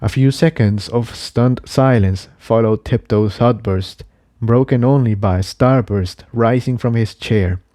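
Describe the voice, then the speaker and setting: Mellow male story narrator, unhurried. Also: mid-range voice, dry recording, clean studio sound. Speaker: narrator, fan fiction reader.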